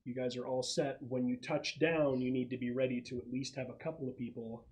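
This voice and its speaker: A man running a casual tabletop role-playing game as game master, explaining the setup with halting pauses. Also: medium pitch, level tone, a little mellow.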